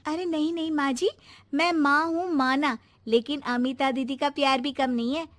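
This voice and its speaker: Woman acting an emotional young mother, very high-pitched. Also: fairly even intonation, normal speed, a bit bright, dry recording. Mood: earnest, defending. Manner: pleading, earnest, dramatic. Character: Sunita, devoted, emotional young mother and daughter-in-law. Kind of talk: Hindi moral-story cartoon voice acting.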